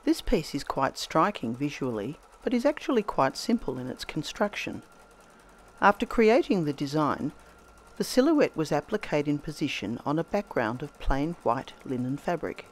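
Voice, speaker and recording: A woman narrates a scripted craft demonstration. Her voice is a little croaky from a recent bout of laryngitis. Her pitch moves with lively, singing rises and falls, and her delivery stays measured and gentle. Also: slight background noise. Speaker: textile artist host.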